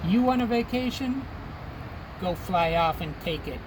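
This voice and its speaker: A man voicing a huge, gentle, faithful storybook elephant, earnest and deliberate. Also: high voice, expressive, background noise. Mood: earnest, resigned.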